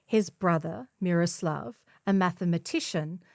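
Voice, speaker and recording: A woman's voice: lowish voice, measured pace, gravelly, a little mellow, no room sound, studio quality. A woman reading a scripted feature article as a volunteer newspaper narrator. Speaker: volunteer reader of a community newspaper.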